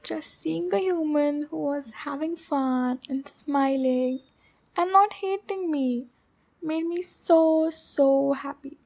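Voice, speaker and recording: A woman playing a shy, lonely, obsessive demon girl in love, speaking slowly and intimately in an ASMR roleplay with a breathy, halting delivery. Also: sits high, exaggerated voice. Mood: lovesick, sheepish. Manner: slow, breathy, halting, with soft giggles.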